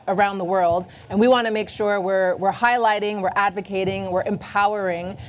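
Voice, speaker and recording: A woman's voice: medium pitch, slight background noise. A woman from Toronto introducing herself on a conference panel in a friendly way, with small restarts. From Toronto, Canada. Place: conference room.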